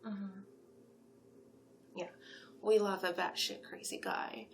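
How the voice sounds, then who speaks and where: A woman in a soft-spoken ASMR roleplay as a friendly, flattering matchmaker, gently encouraging and often slipping into whispers. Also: medium pitch, vocal fry, slight background noise. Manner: gentle, encouraging, salesy, unhurried with frequent whispered phrases. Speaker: ASMR roleplay performer playing a monster matchmaker.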